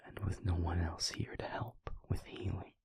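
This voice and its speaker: Guy doing a brooding demon for audio rp, super low and breathy, halting, guarded but protective. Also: level tone, dark tone, no room sound, full character voice. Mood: protective, self-blaming. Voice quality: breathy, husky, half-whispered. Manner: slow, halting, formal phrasing with heavy pauses. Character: weary, brooding male demon, gravely guarded yet protective. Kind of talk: intimate scripted audio roleplay.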